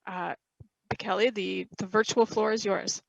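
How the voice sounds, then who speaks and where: Woman, middle-aged, creaky voice, dry close headset sound, halting formal introduction, moderator. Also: medium pitch, fairly even intonation, dry recording, highs cut off. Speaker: session moderator. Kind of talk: formal introduction read from notes. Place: room at home with bookshelves, video call. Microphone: headset microphone.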